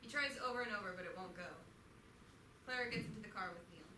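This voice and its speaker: A woman flatly reading stage directions aloud at a staged play reading. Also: mid-range voice, quick pace, slight background noise. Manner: flat, matter-of-fact reading. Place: theatre auditorium. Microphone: distant stage pickup. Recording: echoey theatre taped from far off.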